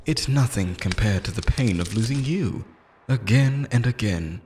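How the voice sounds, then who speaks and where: Slow, wildly swinging obsessive immortal lover played by a man. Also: mid-range voice, expressive, a little mellow, a little hiss or hum, close to an everyday voice. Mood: unhinged, tender menace. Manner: theatrical, intense, swinging between tender and threatening. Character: obsessive immortal yandere lover, menacing, pleading, unhinged. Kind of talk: dramatic ASMR-style audio roleplay monologue.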